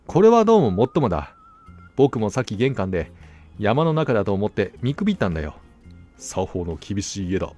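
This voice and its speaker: Man acting a self-assured, gullible gentleman hunter, animated. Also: on the low side, brisk, soft background music. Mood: surprised, impressed. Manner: confident, musing, conversational. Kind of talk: storybook dialogue acting.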